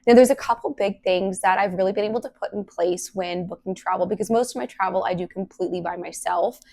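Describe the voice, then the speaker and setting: A young woman hosting a solo podcast, her voice hoarse and partly lost from illness, talking casually and earnestly with lots of "like" fillers. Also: mid-range voice, fast, a little mellow. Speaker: podcast host.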